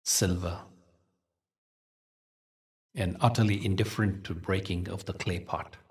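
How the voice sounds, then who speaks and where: A man leading a guided meditation as a teacher, telling spiritual stories in a gentle, measured, soothing way with deliberate pauses. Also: sits low, fairly even intonation, far from the mic.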